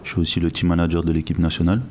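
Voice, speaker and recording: Low, flat-voiced man, reflective. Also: a little hiss or hum. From Guinea. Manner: measured, reflective, frequent restarts. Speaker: guest, logistics director. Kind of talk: podcast interview.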